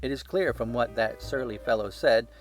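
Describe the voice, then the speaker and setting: A man voicing a shrewd gentleman detective in a storybook, bland, courteous and precise. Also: mid-range voice, moderate pace, soft background music, natural voice. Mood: amused, reflective. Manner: bland, precise, self-assured.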